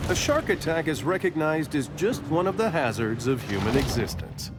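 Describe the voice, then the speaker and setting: A man playing a wry, deadpan nature documentary narrator in a video game. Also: a little high, moderate pace. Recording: loud music behind the voice. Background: loud game music.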